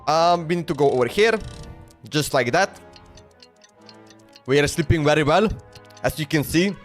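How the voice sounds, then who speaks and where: High-pitched man casually streaming a game. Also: animated, moderate pace, faint music behind. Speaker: game livestreamer. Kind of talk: casual live game reaction commentary.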